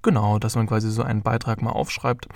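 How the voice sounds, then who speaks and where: A man's voice: fairly low pitch, level tone, quick pace, gravelly, a little mellow, dry recording. A young man in his early twenties hosting his own podcast, talking casually and rambling with lots of fillers. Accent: German. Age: young adult. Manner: chatty, rambling, with many fillers. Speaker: podcast host.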